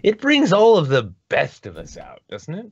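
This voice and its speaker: A man co-hosting a podcast, talking in a mocking, animated way and laughing often. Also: high-pitched, moderate pace, breathy edge, no echo, dull audio. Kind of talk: casual podcast chat.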